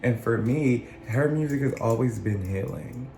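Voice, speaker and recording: A man talking in a casual, earnest monologue, his voice still rough from being sick, often circling back and restarting his phrases. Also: medium pitch, a little slow, dark tone, echoey room, a little hiss or hum. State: recovering from illness, voice still rough. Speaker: channel host.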